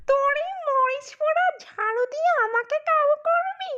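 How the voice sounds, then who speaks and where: A theatrical, menacing petni ghost from a folk-tale cartoon. The voice is piercingly high and slow, over a bed of music. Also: female voice, heavily acted. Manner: cackling, threatening, theatrical. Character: taunting female petni ghost from Bengali folklore. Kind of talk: cartoon voice acting.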